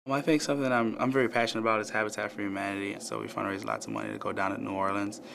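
A man's voice: fairly low pitch, level tone, racing, fairly bright tone. A young man, a student volunteer, giving an interview testimonial. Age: young adult. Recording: clear background noise.

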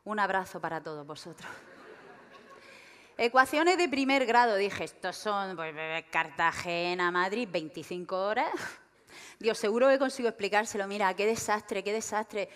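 Murcian woman comic on a big stage. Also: mid-range voice. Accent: Murcian Spanish. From Region of Murcia, Spain. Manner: animated, mocking, quotes herself and her son in quick asides. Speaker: stand-up comedian. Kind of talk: stand-up comedy monologue. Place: large theater stage with live audience. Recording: long reverb of a large hall.

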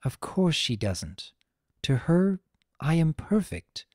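Narrator as a calm, slow-speaking elder pony princess. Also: medium pitch, no echo, studio quality, pitched above the performer's own voice. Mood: fond, self-reproachful. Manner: measured, solemn, gentle. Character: Princess Celestia, calm, wise, weary elder sister pony.

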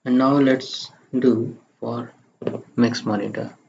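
Guy doing a screencast tutorial, slow and plain, bit of creak in his voice, muffled lo-fi mic. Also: medium pitch, steady tone, a little mellow. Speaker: tutorial presenter. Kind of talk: software screencast tutorial, step-by-step narration. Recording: muffled lo-fi microphone, faint background noise.

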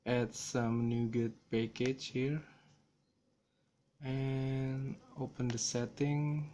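A man from Indonesia with an Indonesian accent, talking through a software tutorial in a slow, hesitant way with many fillers, recorded on a smartphone. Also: medium pitch, little pitch movement, slight background noise. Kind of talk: screen-recorded coding tutorial. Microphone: smartphone camera filming screen.

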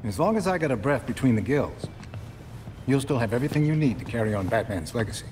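A wry, fatherly older man, acted for a game, an inventor and loyal mentor. His pitch moves in lively swings as he talks, and faint ambient noise sits behind him. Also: fast, natural voice. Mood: warm, assured. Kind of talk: video game cutscene acting.

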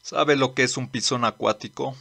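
A man playing a blunt, earnest old lawman in a manga dub, speaking formally and deliberately. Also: mid-range voice, vocal fry, pushed and bright. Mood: earnest, firm. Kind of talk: dramatic dubbing.

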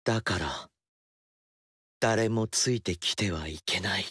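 An actor playing a gentle, self-effacing young male ruler in a video game, soft-spoken and politely old-fashioned. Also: low voice, slow, breathy edge, no echo, studio quality, heavily acted. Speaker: game character. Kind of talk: game dialogue acting.

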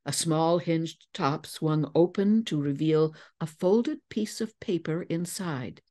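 A woman giving a dramatic, expressive audiobook narration, deliberate and articulate. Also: deep voice. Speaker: voice actor and narrator.

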